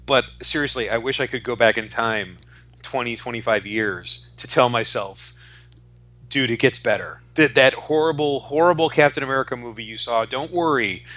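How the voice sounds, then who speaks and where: A man's voice: mid-range voice, normal speed, pushed and bright. A man in his forties co-hosting a casual pop culture discussion, speaking emphatically, repeating phrases for stress and swearing freely. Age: 40s. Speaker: podcast co-host.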